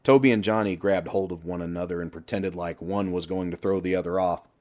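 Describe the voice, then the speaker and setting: A man's voice: deep voice, steady tone, fairly quick, a little mellow. A man reading a scripted story aloud as an audiobook narrator.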